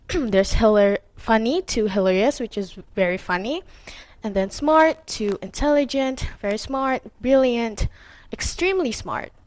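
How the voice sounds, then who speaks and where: Teen girl, Indonesian accent, slow halting class presentation with lots of fillers, slightly high voice, dry sound. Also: no echo. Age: teens. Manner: halting, with frequent fillers and self-corrections; clears throat often. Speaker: student presenter.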